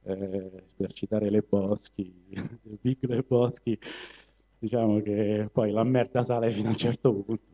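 A man's voice: fairly low pitch, flat delivery, unhurried, mellow, breathy edge. A man with a Roman accent speaks as a stage performer making casual asides. His manner is conversational and ironic. The live recording is slightly dull. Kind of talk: casual asides during a poetry reading.

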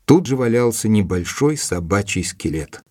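An actor playing a sober male doctor who narrates a detective story in measured, literary phrasing for an audio drama. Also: fairly low pitch, lively intonation, darkish tone, close to an everyday voice. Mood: measured, grave. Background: music.

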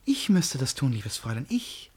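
An actor in a German radio play playing an eccentric, insistent lovesick gentleman with formal, theatrical speech and a husky voice. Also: mid-range voice, very animated. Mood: courteous, probing. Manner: formal, theatrical, halting then pressing, abrupt turns.

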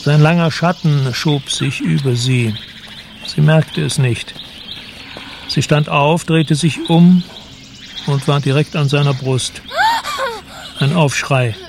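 Gravelly male radio drama narrator. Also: on the high side, slow, pauses often, background noise.

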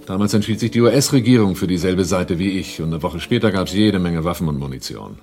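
A shrewd arms-dealing businessman in a radio drama. His voice is very low and rough-edged, and he explains things drily and coolly. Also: expressive, brisk, darkish tone, soft background music. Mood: matter-of-fact. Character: Altmaier, shrewd cool-headed arms-smuggling businessman. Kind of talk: 1980s German radio drama acting.

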